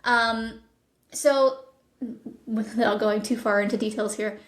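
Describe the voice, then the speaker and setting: A woman speaking as an interview guest and producer, pitching a project conversationally with enthusiasm and thoughtful pauses. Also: steady tone. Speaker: podcast guest, audio drama producer. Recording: echoey, roomy sound.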